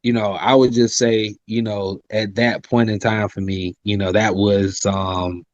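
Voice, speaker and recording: A man's voice: level tone, a little slow, no echo, dull audio. A man, talking casually as an interview guest, polite and full of "you know" fillers. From South Carolina. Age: 30s. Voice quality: high-pitched voice by his own account. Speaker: rapper and singer, interview guest.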